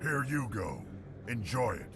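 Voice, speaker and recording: A man's voice: mid-range voice, big pitch swings, measured pace, creaky, over music. A man voicing a suspicious, cocky arms dealer in a video game, curt and bossy. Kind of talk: dramatic game dialogue.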